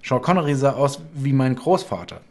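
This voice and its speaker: A man in his forties hosting a casual interview over a video call from a bright home room, chatty and rambling, laughing often. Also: mid-range voice, sing-song, moderate pace. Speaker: podcast host. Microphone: video call, webcam close-up.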